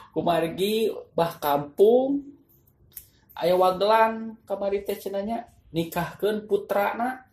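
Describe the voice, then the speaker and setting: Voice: medium pitch, a little mellow. A storyteller with a Sundanese accent, politely addressing listeners and narrating a folk tale. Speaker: storyteller and channel host. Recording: echoey voice over clear background music. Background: background music.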